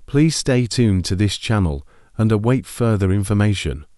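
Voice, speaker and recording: A man playing a grave TV announcer, reading formal emergency bulletins in a measured, solemn manner. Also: lowish voice, lively intonation, mellow, no echo, clean studio sound, lightly acted.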